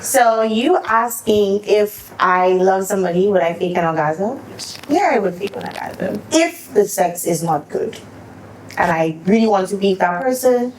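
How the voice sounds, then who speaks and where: A woman's voice: mid-range voice, lively intonation, normal speed, darkish tone, a little hiss or hum, rough recording. A woman co-hosting a podcast, talking frankly in casual chat and using slang. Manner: frank, slangy, thinks aloud. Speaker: podcast co-host.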